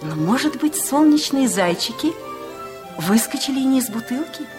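A woman's voice: sits high, big pitch swings, moderate pace. A woman narrating a children's fairy tale as a storyteller in a radio play. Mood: storytelling. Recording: music playing under the voice.

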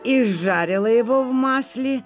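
A narrator telling a folk tale in expressive storybook style. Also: mid-range voice, unhurried. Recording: music playing behind.

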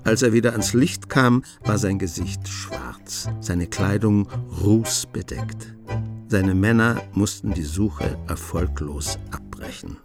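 A man narrating a radio play in a measured storytelling manner. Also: fairly low pitch, creaky, music in the background. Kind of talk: scripted radio drama narration.

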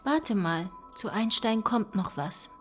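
Sober female investigator, radio drama, mid-pitched, precise and sceptical. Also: animated, slow, faint music behind. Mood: focused, pondering. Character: sober, sceptical woman investigator reading out secret files. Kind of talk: radio play dialogue and document reading.

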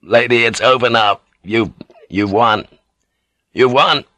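Man voicing a tense, husky samurai swordsman. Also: mid-range voice, takes it slowly, sharp tone, no room sound. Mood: shaken, urgent. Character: tense, coiled male samurai swordsman, dutiful and eager. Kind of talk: dramatic audiobook character voice.

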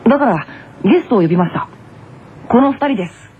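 A woman's voice: mid-range voice, lively intonation, quick pace, breathy edge. A woman voicing a cool, cheeky junior schoolboy tennis player in an anime radio drama. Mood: cool, casual. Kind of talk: anime character radio announcement. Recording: tinny narrow-band radio, faint noise.

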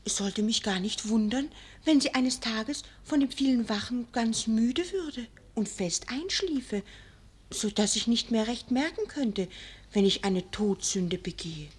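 A woman's voice: on the high side, lively intonation, a little slow, slightly rough. An actress playing a naive young noblewoman in a 1940s radio play, earnest and pleading in formal, archaic speech. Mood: artless, coy. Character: naive young noblewoman, earnest maiden wife longing for child.

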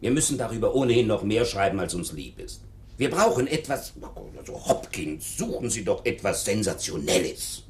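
An actor playing a curt, impatient newspaper editor who commands with authority in a German radio play. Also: male voice, on the low side, sing-song, moderate pace, a little mellow. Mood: secretive, firm. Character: irritable, commanding newspaper editor, male boss.

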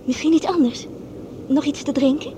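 Woman playing a stewardess, high voice, polite, inquisitive, hesitant, radio play, heavy background noise. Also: moderate pace, natural voice. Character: polite, curious young female air stewardess. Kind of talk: Dutch radio play acting. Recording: radio drama with loud cabin rumble.

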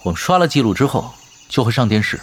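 A man's voice: mid-range voice, sing-song, fast talker. A man acting in an audio drama as a laid-back, shrewd veteran gamer and team leader, matter-of-fact and understated. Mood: dry. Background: background music.

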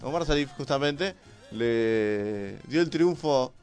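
Argentine man, radio host, bantering, mid pitch. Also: animated, darkish tone, smooth, soft background music. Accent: Argentine Spanish. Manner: quick, bantering, amused. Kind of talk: casual radio chat with football results.

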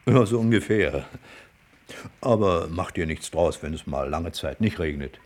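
Breezy, animated young drifter. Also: male voice, sits low, unhurried. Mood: offhand. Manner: colloquial, flippant, teasing. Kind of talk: German radio play acting.

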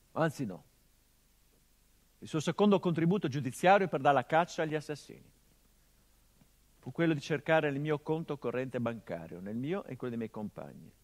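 A bitter, haunted son mourning a murdered father, voiced by a middle-aged actor in a stage monologue. His voice sits at a medium pitch and carries a sharp irony. It swells into loud outbursts, then drops to low, hushed tones. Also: lightly acted. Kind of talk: theatrical monologue.

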